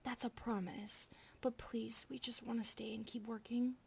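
A woman voicing a timid, dutiful centipede maid in an ASMR roleplay, polite, soft-spoken and hesitant, often slipping into breathy whispers. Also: a little high, level tone, normal speed, slight background noise. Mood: earnest, polite.

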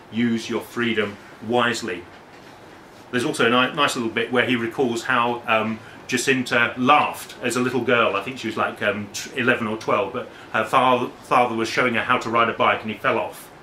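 A man's voice: mid-range voice, pushed and bright, a little hiss or hum. A man in his forties, an academic, reading aloud at a book launch, with hesitant, self-correcting asides between passages. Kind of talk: public reading with casual asides. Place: bookshop, indoors. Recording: roomy echo from far off.

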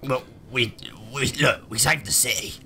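A man's voice: mid-range voice, steady tone, normal speed, pushed and bright, a little hiss or hum. A man playing in a tabletop roleplaying game, improvising dialogue in a blunt, exasperated, colloquial way.

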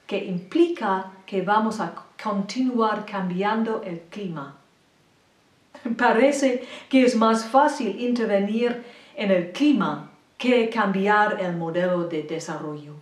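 An older woman giving a slide lecture point by point, in careful, deliberate phrasing of a non-native speaker, speaking in a small indoor room. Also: mid-range voice, distant mic. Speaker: presenter. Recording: roomy echo, mic set back.

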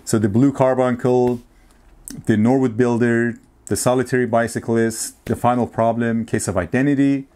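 A man in his forties chatting casually to camera as a vlogger, with an enthusiastic, appreciative and somewhat halting delivery. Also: medium pitch, steady tone, a little mellow. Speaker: vlogger showing a music and tape collection. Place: small home room, indoors.

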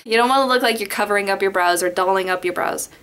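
A woman's voice: mid-range voice, quick pace, a bit bright, clear, resonant tone. A young woman from Las Vegas, chatty and rambling, talking through a makeup tutorial into a webcam in her bedroom. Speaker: beauty vlogger. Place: bedroom at home. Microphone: webcam in front.

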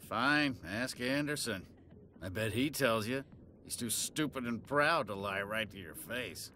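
An actor playing a bitter, mocking, hard-drinking cop in a video game, with a sneering, taunting delivery. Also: male voice, mid-range voice, animated, a little slow, background noise, natural voice. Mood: sullen, dismissive. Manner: sneering, taunting, chuckling between phrases. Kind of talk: video game dialogue acting.

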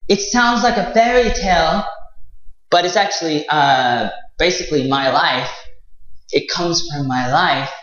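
A young adult man, an English teacher, tells an improvised fairy tale to learners in an animated, halting way, repeating phrases. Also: a little high. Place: small indoor room with whiteboard. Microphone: clip-on lapel mic. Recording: very echoey room, slightly dull sound.

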